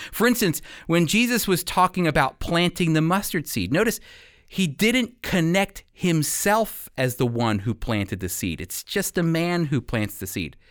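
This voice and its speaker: Animated male Bible teacher, close dry sound. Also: fairly high pitch, no room sound. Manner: emphatic, uses rhetorical questions and repetition. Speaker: Bible teacher and radio program host.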